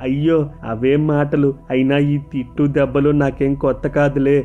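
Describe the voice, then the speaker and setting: A man voicing a humble, gentle orphaned shop worker in an animated moral story, with music behind him. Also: mid-range voice, normal speed, narrow, tinny audio, lightly acted. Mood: gentle, reassuring. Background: background music.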